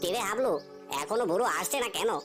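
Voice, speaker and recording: A woman voicing an anxious, talkative village fisherman in a cartoon story, with a hurried, fussing manner. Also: mid-range voice, music in the background, natural voice.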